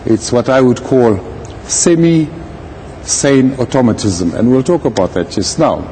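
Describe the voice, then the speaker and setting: A man speaking as a legal commentator in an interview, with a measured, deliberate manner and formal wording. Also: medium pitch, animated, dark tone, slight background noise, low-quality recording. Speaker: legal commentator, retired judge. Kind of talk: live interview commentary on a court case.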